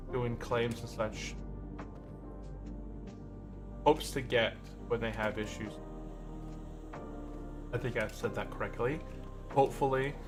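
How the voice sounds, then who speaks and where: A man chatting casually on a gaming livestream, rambling and thinking aloud as he plays. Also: medium pitch. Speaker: livestream host, gamer.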